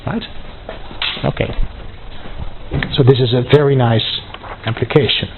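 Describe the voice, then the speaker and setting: Math lecturer, really slow n halting, thinking out loud, dull lo-fi recording w bit of noise. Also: medium pitch, fairly even intonation. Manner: halting, deliberate, thinking aloud. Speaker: university mathematics lecturer. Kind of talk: live lecture working through a proof. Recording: dull lo-fi sound, faint noise.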